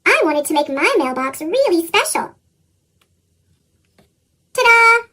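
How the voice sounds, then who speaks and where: A woman voicing an excitable young animal schoolchild from a picture book, bubbly and exclaiming, through a squeaky pitched-up chipmunk effect. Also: slow, full character voice. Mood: excited.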